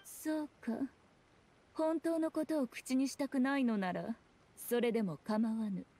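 A haughty fox-spirit priestess from an anime game. Her voice sits a little high, and she speaks in an archaic, imperious way, composed and full of sly scorn. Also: fairly even intonation, unhurried, mellow, slight background noise. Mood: cool, threatening. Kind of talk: Japanese anime-style game acting.

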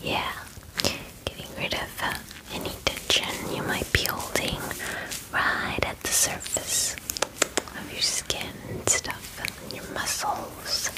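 A woman in her twenties whispering softly and soothingly in an ASMR personal-attention roleplay, murmuring reassurances close to the mic. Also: slow pace, low-quality recording. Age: 20s. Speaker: ASMR creator playing a spa groomer. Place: small dim room indoors.

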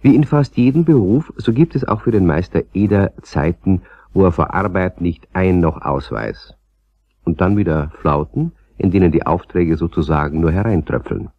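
A man's voice: low voice, moderate pace, no echo, highs cut off. A man narrating a children's radio play as a scripted storyteller.

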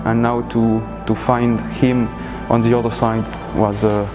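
A man's voice: medium pitch, flat delivery, a little slow. Male, 30s, level intonation, tentative interview delivery, music bed behind. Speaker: air traffic control staff interviewee. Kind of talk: interview answers. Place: indoor atrium with plants. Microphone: lapel. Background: background music.

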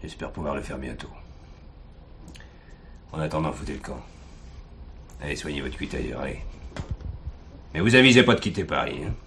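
A gruff bullying police commissioner, super low voice, sardonic, old radio drama with noisy background. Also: male voice, animated, normal speed, pauses often, a bit bright, full character voice. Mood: hard, accusing. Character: gruff, hard-nosed male police commissioner interrogating suspects. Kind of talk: French radio crime drama acting.

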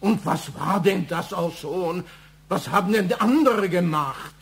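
A man's voice: sits high, a little slow, vocal fry, a bit bright, slightly rough, full character voice. An older male actor playing a proud, defensive, self-righteous father in a German radio crime drama, speaking with indignant emphasis.

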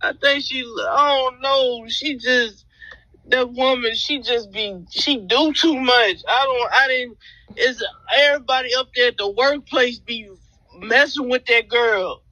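A man's voice: extremely high pitch, measured pace, bright, forceful tone, no room sound, dull audio. A young American man crying and pleading in a whiny, upset way into a phone held close. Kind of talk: emotional pleading on a phone call.

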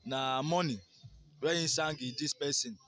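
Young Nigerian man, higher-pitched voice. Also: moderate pace, crowd noise. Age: young adult. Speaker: street interviewee. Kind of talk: casual street interview in Pidgin. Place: outdoors by a garden wall. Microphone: phone held near the mouth by interviewer.

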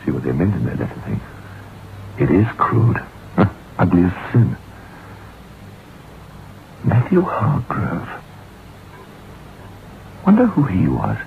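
Very deep-voiced uneasy writer. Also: male voice, huge pitch swings, slow pace, creaky, long pauses, full character voice. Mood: musing, uneasy. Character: curious, uneasy male writer narrating a haunted-house tale. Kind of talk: old-time radio acting. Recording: muffled, hissy vintage radio recording.

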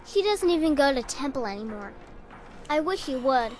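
Eager high-pitched little girl. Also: lively intonation, normal speed, a little mellow, faint music behind. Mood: wary, sad. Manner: wide-eyed, childlike, earnest. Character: chatty, eager, innocent little girl villager. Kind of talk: fantasy video game dialogue.

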